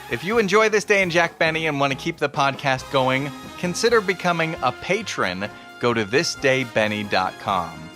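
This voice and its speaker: A man's voice: medium pitch, bright, forceful tone. A man hosting a podcast with scripted, explanatory narration. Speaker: podcast host.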